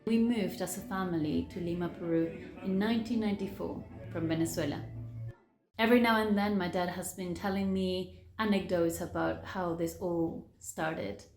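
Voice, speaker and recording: A woman's voice: on the low side, fairly even intonation, moderate pace, a little mellow, roomy sound, soft background music. A woman narrating her own documentary in an earnest, reflective way. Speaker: documentary maker.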